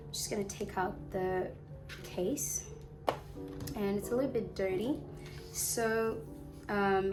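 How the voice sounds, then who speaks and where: A young woman with a slightly hoarse voice from a flu, chatting casually and rambling a little while she unboxes a phone. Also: mid-range voice. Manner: chatty, rambling, uses fillers like "um" and "like". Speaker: unboxing vlogger. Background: music in the background.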